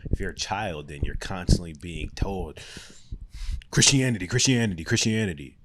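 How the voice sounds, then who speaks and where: A young man co-hosting a podcast, making his point in a calm, measured way. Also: sits low, very noisy. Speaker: podcast co-host. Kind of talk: casual chat.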